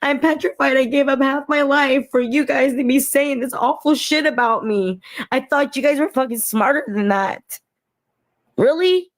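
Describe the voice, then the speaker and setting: Tearful, agitated high-voiced woman. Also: normal speed. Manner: agitated, swearing, pleading with viewers. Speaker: livestreamer. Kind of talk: emotional livestream rant.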